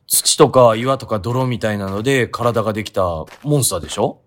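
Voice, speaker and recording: A man's voice: medium pitch, fairly quick, lightly acted. An actor playing a naive, doubtful young man questioning his friend casually in a comic radio drama. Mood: sceptical.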